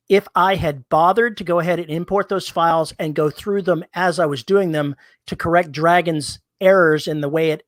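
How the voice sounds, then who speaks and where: A man's voice: fairly high pitch, fairly bright tone, dry recording. A man with a Texan accent co-hosting a podcast, chatting casually and reading listener comments aloud with an easygoing, self-deprecating manner. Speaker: podcast co-host. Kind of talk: casual chat.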